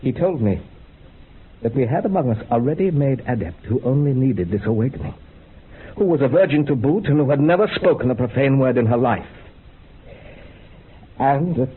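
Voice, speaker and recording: A man's voice: mid-range voice, expressive, moderate pace, pauses often, breathy edge. An actor playing an unhinged man confessing a grisly occult experiment in a radio horror drama, articulate and feverish, on a muffled recording. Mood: eerie relish. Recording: muffled phone-like sound with faint hiss.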